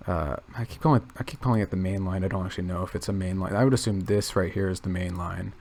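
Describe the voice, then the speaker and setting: A man quietly thinking aloud in a gravelly low register, rambling with many restarts and fillers. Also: fairly even intonation, fast. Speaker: channel host. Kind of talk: casual rambling commentary.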